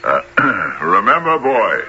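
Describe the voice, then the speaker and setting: An actor playing a boastful, officious village hunter in a radio drama, huffing and suspicious, then commanding. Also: male voice, lowish voice, huge pitch swings, slow, sharp tone, heavily acted. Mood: stern warning. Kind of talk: 1970s radio drama acting. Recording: muffled, noisy old radio broadcast.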